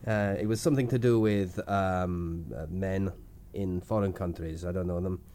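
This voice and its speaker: A man voicing an odd foreign male stand-in producer with a thick put-on accent, halting and full of fillers. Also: sits low, fairly even intonation, dark tone, slight background noise, close to an everyday voice. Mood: rambling. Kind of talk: improvised comedy podcast character.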